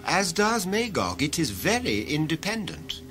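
A man's voice: on the high side, wildly swooping pitch, a little slow, a bit bright, faint music behind, exaggerated voice. A man playing a self-assured, formal and pompous director of a future regime in an audio drama. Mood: proud, persuasive. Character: self-assured titled male director of a future ruling cartel.

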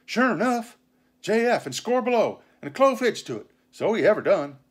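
Man playing an excitable high-voiced pirate crewman. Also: moderate pace. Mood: excited. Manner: exclaiming, rustic sailor dialect.

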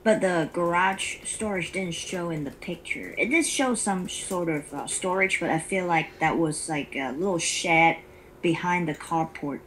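A woman's voice: fairly low pitch, unhurried, fairly bright tone, low-quality recording. Woman. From Hong Kong. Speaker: caller, prospective home buyer. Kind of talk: casual conversation on a call.

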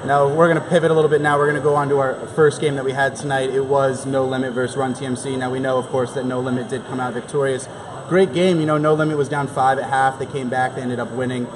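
A man's voice: mid-range voice, flat delivery, quick pace. A young man hosting a postgame basketball show, talking in an interview and commentary style. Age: young adult. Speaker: sports show host. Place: large indoor basketball gym. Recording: gym ambience with background crowd noise.

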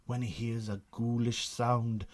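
A man's voice: mid-range voice, level tone, measured pace. A man with a Northern Irish accent narrating a scripted radio drama.

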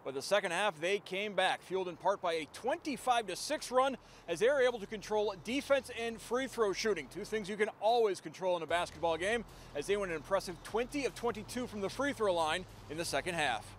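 A man delivering a brisk sports news report on college basketball. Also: sits high, a little hiss or hum. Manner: brisk, even newscast delivery.

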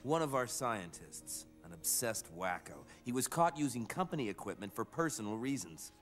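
An actor playing a callous, glad-handing company boss in an animated series, slick and self-important. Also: male voice, medium pitch, moderate pace, soft background music. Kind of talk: animated series drama acting.

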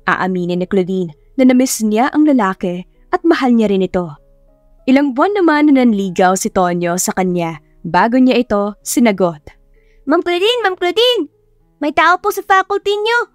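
A woman's voice: medium pitch, expressive, moderate pace, darkish tone, dry recording, faint music behind. A woman narrating a scripted romantic story as an audiobook narrator.

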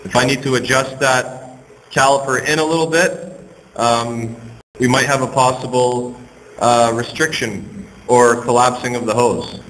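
A man working as an HVAC technician, casually walking through a hands-on test with a relaxed, plain-spoken manner and frequent ums. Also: medium pitch, a little slow. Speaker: HVAC technician and channel host. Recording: reverberant space with faint noise.

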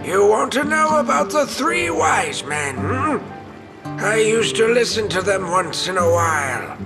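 A man's voice: high-pitched, heavily acted. A man voicing an eccentric, theatrical old sorcerer in a fantasy video game.

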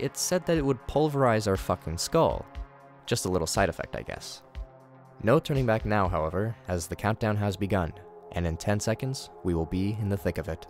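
Man, low voice, wry casual video commentator, speaking over light background music. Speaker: host of a horror series commentary channel.

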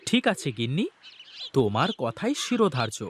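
A man voicing a humble, sentimental village boatman with colloquial rural banter in an animated folk tale. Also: medium pitch, normal speed, slight background noise, lightly acted. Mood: affectionate. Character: cheerful humble village boatman, doting husband.

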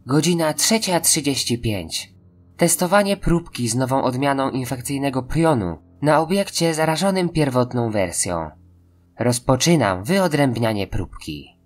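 A man's voice: mid-range voice, lively intonation, measured pace, faint music behind, pitched above the performer's own voice. A man voicing a rattled but determined female scientist who talks to herself in an audio horror drama. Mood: clinical.

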